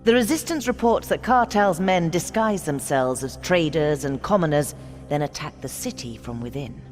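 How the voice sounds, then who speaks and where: Low-voiced, grave commander. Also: female voice, expressive, normal speed, darkish tone, faint music behind. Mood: grave, briefing. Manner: grave, authoritative. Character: stern mother, commanding resistance leader. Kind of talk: game cutscene briefing.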